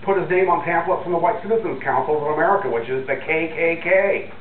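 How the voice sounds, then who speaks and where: The sound is narrow and a little noisy, with the speaker away from the mic. A man with a fairly high voice talks casually and without a script as a panelist on a talk show. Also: fast talker. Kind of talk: casual unscripted discussion. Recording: phone-like, roomy, distant, noisy TV audio.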